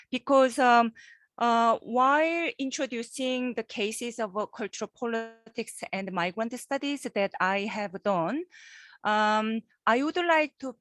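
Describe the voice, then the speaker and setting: Higher-pitched woman lecturing over a video call. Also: fairly bright tone, dry recording. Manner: halting, repeats words, often checks in with "yeah?" and "okay?". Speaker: guest lecturer, geography professor. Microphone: computer microphone on a video call.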